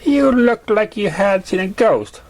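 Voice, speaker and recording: Playful, mocking young pegasus stallion for a fan story, played by a man, raised pitch. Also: lively intonation, measured pace. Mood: teasing. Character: teasing, cocky young male pegasus pony friend.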